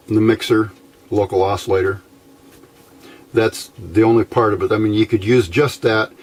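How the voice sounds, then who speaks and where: A man giving a casual, unscripted technical explanation as a hobbyist presenter, haltingly, thinking aloud as he goes. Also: on the low side, a little mellow, slight background noise. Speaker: amateur radio hobbyist presenting a how-to video.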